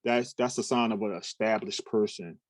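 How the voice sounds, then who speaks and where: A man's voice: on the low side, normal speed, dry recording, clean studio sound. A man in his fifties, a talent manager, chatting casually in an interview and telling long, meandering stories full of fillers and restarts. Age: 50s. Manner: rambling, relaxed storytelling with many fillers and restarts.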